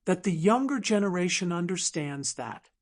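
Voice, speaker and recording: A woman voicing a polished, manipulative businesswoman in an audiobook drama, smooth, sugary and condescending. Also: fairly low pitch, lively intonation, moderate pace. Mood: gracious, condescending.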